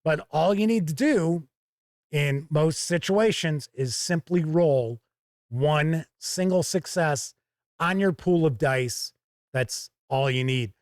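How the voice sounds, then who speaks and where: Guy reviewing a game, talks slow n measured, stresses points, dry close sound no room. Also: on the high side, darkish tone, no echo. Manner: measured, emphatic, pauses between short phrases. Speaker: board game reviewer and host. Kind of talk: explanatory product review, talking through rules.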